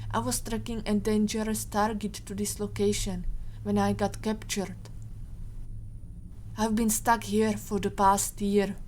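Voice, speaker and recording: A woman's voice: mid-range voice, flat delivery, unhurried, a little mellow, slight background noise, natural voice. Woman playing a cool, matter-of-fact captive agent. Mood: composed, explanatory. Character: cool, informed female Interpol agent held captive. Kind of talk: audio drama acting.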